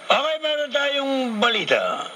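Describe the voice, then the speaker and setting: Playful male radio anchor, animated and sharp-voiced, bantering and repeating himself; noisy AM aircheck. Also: very high-pitched, unhurried. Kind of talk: casual, joking radio banter.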